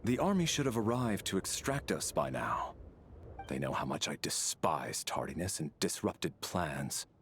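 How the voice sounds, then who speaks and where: An actor playing a stern, impatient military officer in a video game, speaking in a formal, clipped, disdainful way. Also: male voice, extremely low pitch, very animated, a little slow, fairly bright tone, a little hiss or hum, full character voice. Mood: stern, irritated. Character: haughty, impatient Snezhnayan officer, stern and duty-bound.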